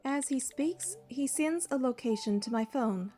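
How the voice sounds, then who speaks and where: An actress playing a caring, curious young heroine who narrates and speaks in a romance game, measured and earnest. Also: female voice, high-pitched, expressive, mellow, faint music behind. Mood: measured, observant. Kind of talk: first-person narration and dialogue in a romance game.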